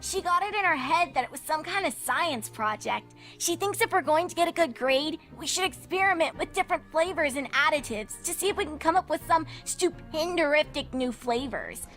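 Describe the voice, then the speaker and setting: A woman acts out a young tomboy pegasus filly with a high voice. The cartoon character is blunt, testy and exasperated. Music plays underneath. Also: lively intonation, normal speed, few pauses, fairly bright tone.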